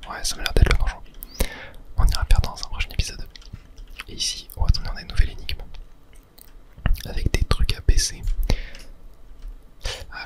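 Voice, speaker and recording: Someone whispering softly and breathily, thinking aloud in a casual ASMR gameplay commentary. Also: poor audio. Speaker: video game streamer narrating play.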